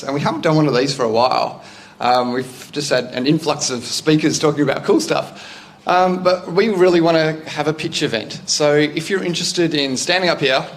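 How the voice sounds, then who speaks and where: Chatty Australian man hosting a meetup. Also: fairly high pitch. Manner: chatty, relaxed, loose with fillers. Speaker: event host. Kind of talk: casual event announcements. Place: meetup room with a live audience.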